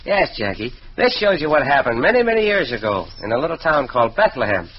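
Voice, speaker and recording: An American actor playing a genial, excitable and dreamy department store manager in an old-time radio drama, earnest and expressive. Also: male voice, mid-range voice, fast, breathy edge. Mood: tender, sincere. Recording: muffled, noisy old radio recording.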